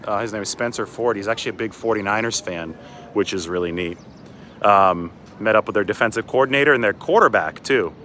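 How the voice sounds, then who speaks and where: A man's voice: lowish voice, brisk, sharp tone. An American man in a casual livestream, chatting and rambling through asides as he moves about outdoors. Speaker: sports reporter hosting a livestream. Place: outdoors on city streets by a river at dawn. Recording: outdoor city ambience with traffic noise.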